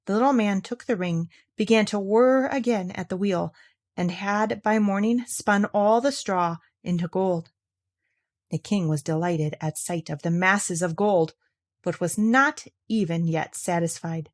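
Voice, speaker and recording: A woman storyteller reading a fairy tale aloud in an expressive, theatrical and clearly enunciated way. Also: medium pitch, unhurried.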